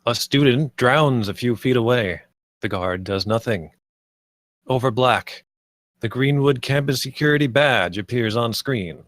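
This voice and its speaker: A man's voice: mid-range voice, a little slow, fairly bright tone, dry recording, studio quality. A man reading stage directions as scripted narration at a screenplay table read.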